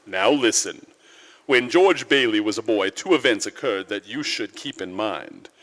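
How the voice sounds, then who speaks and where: An actor playing a senior angel narrating a life story, measured and authoritative, in a 1940s radio drama style. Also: male voice, on the low side, lively intonation, fairly bright tone, heavily acted. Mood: calm authority. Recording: reverberant church hall, live stage.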